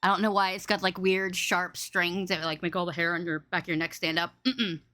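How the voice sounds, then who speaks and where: A woman with a loud, boomy voice hosting a casual livestream podcast, effusive, playful and rambling as she chats with her guest. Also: flat delivery, brisk. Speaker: podcast host and interviewer.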